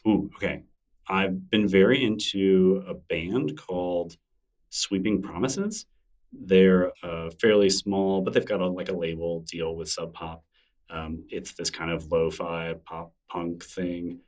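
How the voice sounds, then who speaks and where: A man's voice: deep voice, fairly even intonation, slow, darkish tone, distant mic. A man guest talking casually and enthusiastically in a library room, rambling with many fillers. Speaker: interview guest. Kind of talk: casual conversation. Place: public library room with a conference nearby.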